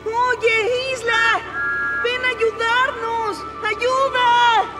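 A woman's voice: extremely high pitch, flat delivery, heavily acted. A woman voicing a cheeky, boastful young male steam engine in a Latin American Spanish cartoon dub, with hesitant phrasing. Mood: worried. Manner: hesitant, improvising, drawn-out fillers. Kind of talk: animated children's series dubbing. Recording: music behind the voice.

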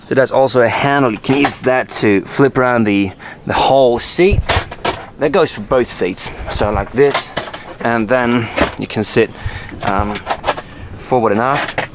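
A man's voice: mid-range voice, measured pace. A man presenting an informal walkthrough of his boat as its owner, explaining things casually and correcting himself as he goes. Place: outdoors on an open motorboat on the water. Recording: outdoor ambient noise on boat.

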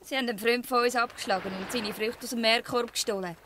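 A bold, cheeky girl who leads a street gang, with a Swiss German accent. Her voice is high and bright, confident in the style of a radio play, with faint noise behind it. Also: fairly even intonation, fast. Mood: cheeky, defiant. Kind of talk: dialect radio play acting.

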